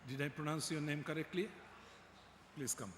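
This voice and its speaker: A man's voice: fairly high pitch, normal speed. Man chairing in an echoey hall. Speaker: session chair. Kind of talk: formal chairing remarks. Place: large conference hall. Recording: echoey hall, clear background noise.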